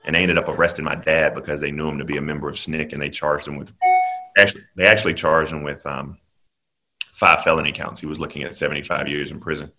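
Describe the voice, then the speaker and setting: A man from South Carolina, speaking as a podcast guest. He talks in an earnest, measured way with frequent ums. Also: extremely low pitch, level tone, bright, forceful tone. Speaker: guest political commentator. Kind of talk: podcast interview.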